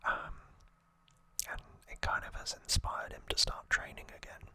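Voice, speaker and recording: Whispering ASMR host, breathy and bright. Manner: gentle, unhurried, informal recapping.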